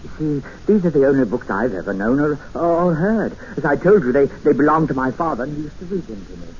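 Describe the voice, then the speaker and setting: An actor playing a gentle, eerie older recluse obsessed with books, hesitant and politely insistent, in a 1950s radio drama. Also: male voice, a little high, animated, brisk, natural voice. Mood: obsessive, fond. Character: gentle, eerie jungle recluse obsessed with Dickens, quietly menacing. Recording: muffled, hissy 1950s radio recording.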